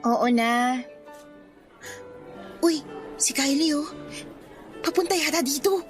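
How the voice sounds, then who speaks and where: A woman's voice: high voice, takes it slowly, soft background music. A woman playing a shy, loyal teenage schoolgirl in a radio drama, with a hesitant manner. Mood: teasing, fond. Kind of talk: scripted radio drama dialogue.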